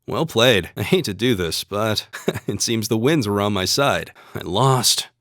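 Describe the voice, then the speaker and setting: Young plains warrior, courteous and earnest, lively pitch swings, dry studio sound, video game dialogue. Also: male voice, no room sound. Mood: calm, friendly. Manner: earnest, measured, polite. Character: calm, courteous young male spear-wielding fighter from the plains. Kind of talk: scripted game dialogue and battle barks.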